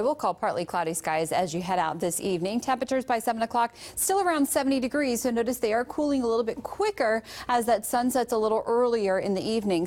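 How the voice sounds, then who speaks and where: A woman's voice: medium pitch, a little mellow. An American woman working as a TV meteorologist, giving a conversational weather forecast.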